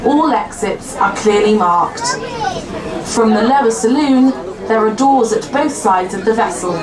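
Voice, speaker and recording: A woman giving a recorded safety announcement on a boat in a measured, formal way. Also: medium pitch, echoey room, rough recording.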